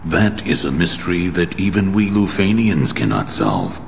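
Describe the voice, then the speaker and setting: Very deep, solemn ancient sage. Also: male voice, big pitch swings, vocal fry, full character voice. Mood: philosophical, grave. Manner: measured, formal, portentous. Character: solemn, ancient male creator sage, wistful and grave. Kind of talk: dramatic video game cutscene acting. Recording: long cavernous reverb, faint background noise.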